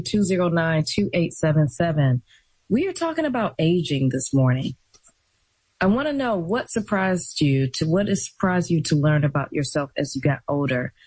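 Breathy, unhurried radio host woman. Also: sits low, darkish tone, no echo, poor audio. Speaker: radio talk show host. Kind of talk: call-in radio talk.

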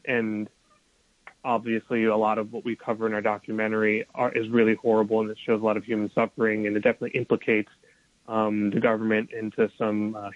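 A man's voice: lowish voice, no echo. Man talking loosely over a dull line. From United States, Colombian family. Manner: loose, many fillers and restarts. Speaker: documentary filmmaker guest. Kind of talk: casual explanation in an interview.

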